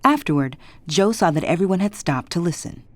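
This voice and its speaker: A woman reading scripted narration for a children's read-along storybook in an expressive, dramatic way. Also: lowish voice, fairly quick, no echo. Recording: music behind the narration.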